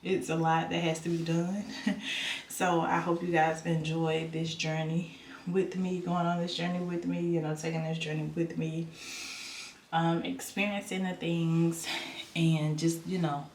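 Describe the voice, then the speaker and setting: A woman's voice: low-pitched, flat delivery, measured pace, darkish tone, roomy sound, slight background noise. A young woman vlogging at home, talking casually and rambling with many ums and repeats, her voice stuffy and nasal. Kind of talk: casual vlog chat. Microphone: camera on a stand.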